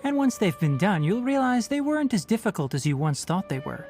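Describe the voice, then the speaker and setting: A man voicing a calm, stern young male forest ranger in a game, speaking in a measured, matter-of-fact, slightly dry way. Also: high-pitched. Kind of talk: game dialogue voice acting. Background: soft game music.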